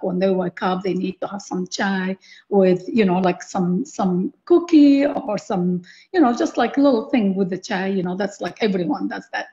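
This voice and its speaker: An older woman with an Iraqi accent speaks in a mellow, dark voice over a dull video call. She tells her story casually as a guest, peppering it with "you know" and "like". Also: mid-range voice. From Baghdad, Iraq. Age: middle-aged or older. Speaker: interview guest, cookbook author. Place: living room at home, on a video call. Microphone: video call computer mic.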